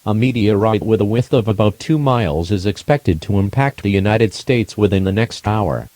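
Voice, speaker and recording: A man reading a scripted emergency broadcast announcement in a formal, measured, impersonal way. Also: fairly low pitch, expressive, a little mellow, no echo.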